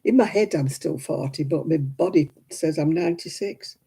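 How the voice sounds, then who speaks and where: Woman, seventies, chatty livestream host, breathy, deep dark tone. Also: moderate pace. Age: 70s. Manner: chatty and homely, laughs often, addresses viewers by name. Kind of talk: casual chat reading out viewer comments.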